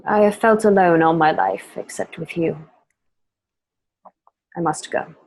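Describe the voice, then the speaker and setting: A woman's voice: lowish voice, a little slow, gravelly, darkish tone, rough recording. A woman acting an anxious, weary Roman princess, earnest and guarded, in an amateur radio drama. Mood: weary, tender.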